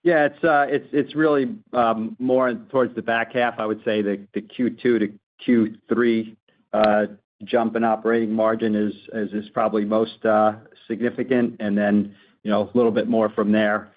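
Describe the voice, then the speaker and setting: A man answering analysts on an earnings call as a finance executive, in halting, hesitant phrases. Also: on the low side, little pitch movement. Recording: dull conference call audio.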